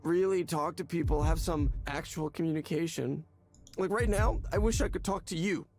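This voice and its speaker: An actor voicing a desperate, distraught man in a video game, speaking haltingly and repeating himself as if pleading. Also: fairly high pitch, a little mellow, lightly acted. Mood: bitter, desperate. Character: desperate, distraught male therapy client.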